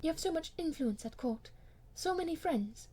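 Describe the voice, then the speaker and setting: A woman voicing an anguished, proud young gentlewoman who pleads emotionally in an audiobook. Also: high voice, animated, moderate pace, pitched above the performer's own voice. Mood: tearful pleading.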